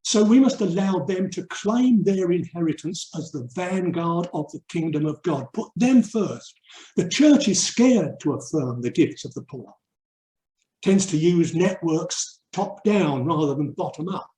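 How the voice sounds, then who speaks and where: An older man from England giving a reflective webinar talk, earnest and emphatic, with deliberate pauses. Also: fairly high pitch, lively intonation, dark tone. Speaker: urban mission speaker and theologian. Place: indoor room, online webinar. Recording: roomy, echoey webinar audio.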